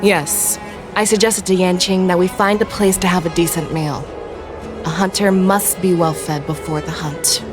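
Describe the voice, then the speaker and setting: An actress voicing a calm, commanding woman general who speaks with gentle teasing. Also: on the low side, moderate pace, over music, close to an everyday voice. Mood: composed. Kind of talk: game voice acting.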